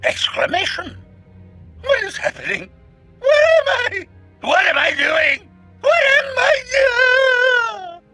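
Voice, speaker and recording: A murderous little game droid in a panic. The voice is high and bright, lurching wildly in pitch. Each statement is announced in slow, clipped, robotic phrasing, with music behind it. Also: very animated, heavily acted. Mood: frantic, bewildered. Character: panicky murderous assassin droid in a small astromech. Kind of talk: video game voice acting.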